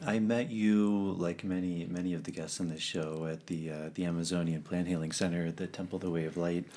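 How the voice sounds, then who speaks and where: A low-pitched man talks as the host of a relaxed conversational podcast. He rambles a little, filling the gaps with ums and uhs as he goes. His delivery is unhurried and friendly. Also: soft background music. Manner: easygoing, many ums and uhs, loose rambling phrasing.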